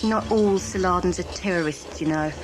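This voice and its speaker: A woman's voice: on the low side, moderate pace, natural voice. A woman playing a cheeky, blunt, outspoken worker who challenges everyone in an audio drama. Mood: cheeky, defiant. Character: Toy, cheeky, blunt, outspoken working woman of downtrodden race. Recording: music behind.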